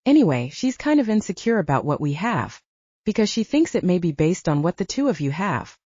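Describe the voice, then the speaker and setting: A woman's voice: lowish voice, very animated, a little fast, mellow, no room sound. A woman voicing a big, insecure teenage younger brother in an audiobook, earnest, blurting and awkward. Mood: awkward, pleading. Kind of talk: audiobook character dialogue. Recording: dull, slightly muffled audio.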